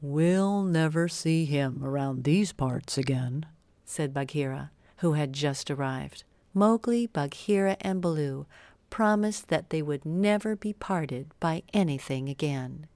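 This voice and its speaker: A woman reading a scripted children's bedtime story with expressive, careful phrasing. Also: fairly low pitch, no echo. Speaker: storybook narrator.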